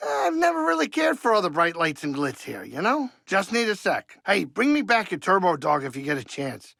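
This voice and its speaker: An aging alien starship pilot for a video game. His voice sits fairly high, with a bright, pushy edge and plenty of gravel. He is folksy and fussy, a wisecracking grumbler with a soft heart, recorded close and dry. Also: sing-song, normal speed, no room sound, full character voice. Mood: chatty, upbeat. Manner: folksy, wisecracking, fussy, colloquial streetwise talk. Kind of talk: video game character dialogue.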